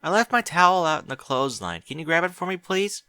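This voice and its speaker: A man's voice: fairly high pitch, big pitch swings, fast talker, sharp tone, clean tone, no room sound, studio quality, voice pushed up. A man voicing a bookish young female pony, chatty and precise, in a fan fiction audiobook. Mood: brisk.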